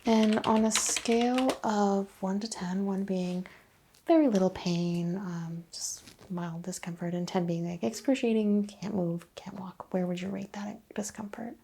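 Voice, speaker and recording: A woman playing an attentive, reassuring chiropractor in a soft-spoken ASMR roleplay, asking questions in a hushed, unhurried way. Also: medium pitch, fairly even intonation, creaky, husky. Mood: calm, attentive. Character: attentive, reassuring female chiropractor taking a history. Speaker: ASMR performer playing a clinician.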